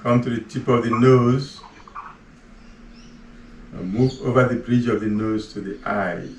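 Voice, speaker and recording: Guy with a gravelly voice talking people through a body-scan meditation, slow calm cues, kinda distant mic, faint hum behind. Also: mid-range voice. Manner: slow, soft, calm step-by-step cues with long pauses. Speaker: meditation guide. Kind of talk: guided relaxation instruction. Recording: roomy echo, voice far from the mic, faint ambient hum.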